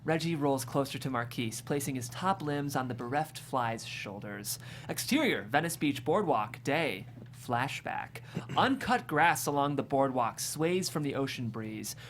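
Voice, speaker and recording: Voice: mid-range voice, animated, a little slow. Amused screenplay narrator, faint noise. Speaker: stage directions reader. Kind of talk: scripted screenplay narration at a table read.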